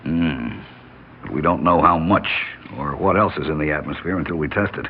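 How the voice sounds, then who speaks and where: An actor playing a calm, steady male spacecraft commander in an old radio drama, giving clipped orders. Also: extremely low pitch, moderate pace. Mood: commanding. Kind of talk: 1950s radio drama acting. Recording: tinny old radio broadcast with faint hiss.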